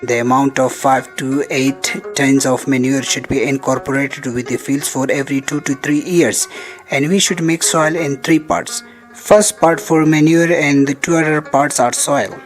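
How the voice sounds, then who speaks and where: A man's voice: mid-range voice, steady tone, normal speed, rough recording. A man reading scripted narration for an instructional film in a formal, step-by-step way. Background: background music.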